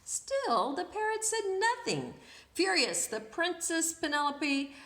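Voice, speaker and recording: High-voiced, animated woman reading a picture book. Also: slow pace. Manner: asks listeners questions, draws out words. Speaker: storyteller, children's read-aloud host. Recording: echoey room.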